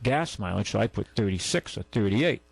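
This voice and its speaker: Gravelly-voiced man hosting car advice. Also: lowish voice, expressive, brisk, no room sound. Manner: rambling, folksy, self-correcting explanations. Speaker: radio car-advice host. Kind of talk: call-in radio advice.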